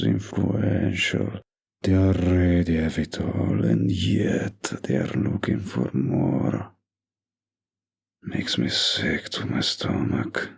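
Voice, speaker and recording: Man acting a deep, gravelly mafia boyfriend. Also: heavily acted. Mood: disgusted, contemptuous. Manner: slow, murmured, teasing and possessive. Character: smooth young mafia heir, doting dominant boyfriend. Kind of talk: intimate boyfriend roleplay audio drama.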